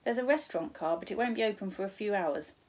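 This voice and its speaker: A woman voicing a kindly, playful gentleman guardian from a storybook, wryly humorous and reassuring. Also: medium pitch, fast talker, natural voice. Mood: bright, reassuring.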